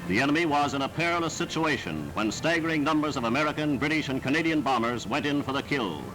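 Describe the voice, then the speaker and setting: A man's voice: a little high, animated, quick pace, over loud music, low-quality recording. A man reading scripted 1940s wartime newsreel narration in a formal, dramatic, declamatory manner. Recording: vintage 1940s newsreel soundtrack.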